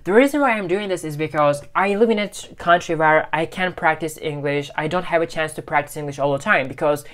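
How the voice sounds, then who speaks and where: A man's voice: on the high side, fairly quick. A young man in his twenties with a Turkish accent, chatting animatedly to camera about learning English, full of fillers like "you know" and "like". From Turkey. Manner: animated, gestures with both hands, loose filler-heavy phrasing. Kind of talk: casual talk to camera. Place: small bedroom at home.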